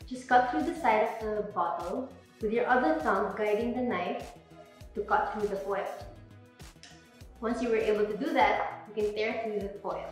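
A young woman giving clear step-by-step instructions on wine bottles, in an instructional demo recorded indoors. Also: medium pitch, normal speed, soft background music. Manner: clear, plain step-by-step explaining. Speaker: wine presenter. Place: indoor room with a table. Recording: very echoey room.

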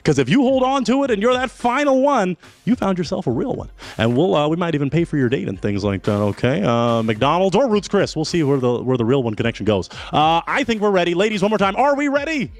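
Young guy hosting a dating game show, lively bouncy voice, kinda teasing, handheld mic. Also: high voice. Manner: showy, teasing, drawn-out delivery.

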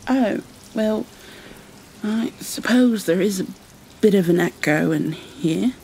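A woman playing a polite, curious cave explorer in an ASMR roleplay, speaking in a tentative, apologetic, halting way. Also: mid-range voice, vocal fry, a little mellow, poor audio. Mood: friendly, hesitant. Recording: faint ambient background noise.

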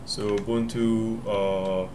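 A man's voice: on the low side, level tone, takes it slowly, mellow, a little hiss or hum. Rambling young man walking through a setup. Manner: rambling, self-correcting, thinking aloud. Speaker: tech tutorial host. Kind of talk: casual screen-recorded walkthrough. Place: home room, indoors.

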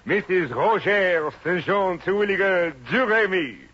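An actor playing an ardent, impetuous French suitor with florid romantic gushing in a 1950s radio comedy. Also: male voice, sits high, lively intonation, a little slow. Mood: adoring, gushing. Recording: noisy, dull 1950 radio recording.

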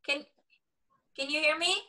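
A woman in her twenties speaks casually on a video call from a room at home. Her voice is high and bright, and she takes her words slowly. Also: steady tone. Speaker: actor at an online table read.

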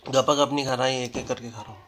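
A man's voice: medium pitch, steady tone, fast, a bit bright, slight background noise. A man traveling with a vlogger, answering casually with short, dry, laid-back replies. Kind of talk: casual chat. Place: outdoors on a road trip.

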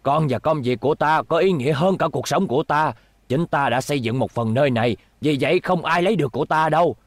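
A man's voice: on the high side, quick pace, no room sound. A man voicing a proud, stubborn old station chief and father in a dubbed cartoon, with a firm, weighty delivery. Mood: stubborn, reassuring. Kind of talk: animated series dub acting.

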